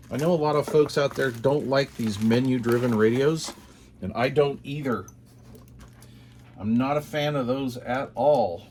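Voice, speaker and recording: A man's voice: medium pitch, a little mellow, slight background noise. A man hosting a casual product unboxing, talking informally and musing aloud in short phrases. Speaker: host of a radio gear channel.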